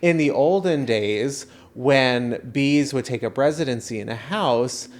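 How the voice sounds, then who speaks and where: Man telling a casual, halting story. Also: medium pitch. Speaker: podcast co-host.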